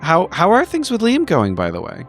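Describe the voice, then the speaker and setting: A man playing a fussy, apologetic middle-aged woman in a tabletop roleplay, speaking hesitantly and gently. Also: high voice, huge pitch swings, moderate pace, faint music behind, pitched above the performer's own voice, full character voice. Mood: fond, hesitant.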